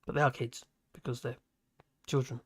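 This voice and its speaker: A man's voice: medium pitch, flat delivery, moderate pace, fairly bright tone, breathy edge, dry recording, studio quality. A man, hosting a casual livestream, rambling and chuckling at his own asides from his home office. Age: 50s. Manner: chatty, chuckling, self-mocking asides. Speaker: livestream host reading chat.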